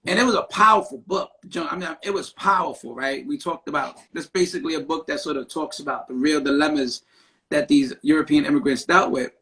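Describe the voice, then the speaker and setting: A man with a fairly high voice hosts a casual live-stream conversation. He talks in an animated, emphatic way and often closes his points with a quick "right?". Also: rough recording. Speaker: podcast host; therapist.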